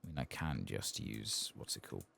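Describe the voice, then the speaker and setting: A man softly murmuring casual ASMR commentary over a video game, close to the microphone. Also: very deep voice, expressive, moderate pace, vocal fry. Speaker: gameplay streamer.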